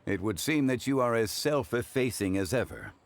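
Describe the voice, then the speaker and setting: A man voicing a calm, learned gentleman consultant in a video game, with measured, courteous, eloquent speech. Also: medium pitch, lightly acted. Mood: courteous, serene.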